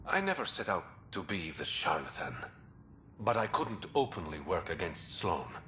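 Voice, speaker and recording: An actor playing a secretive man who brokers information in a port, confiding and smooth. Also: low voice, sing-song, moderate pace, sharp tone, slight background noise, full character voice. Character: shadowy male underworld information broker.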